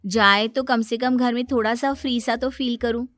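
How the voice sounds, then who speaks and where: Lady doing an innocent young wife in a cartoon, high voice, blunt but sorry about it. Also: steady tone, normal speed, fairly bright tone, dry recording, close to an everyday voice. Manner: innocent, apologetic, guileless. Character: naive, blunt, truthful young housewife.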